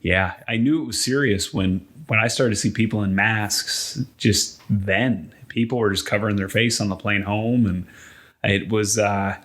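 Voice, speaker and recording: A man's voice: fairly low pitch, steady tone, moderate pace, fairly bright tone. A man co-hosting a podcast in a casual conversational style. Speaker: podcast co-host.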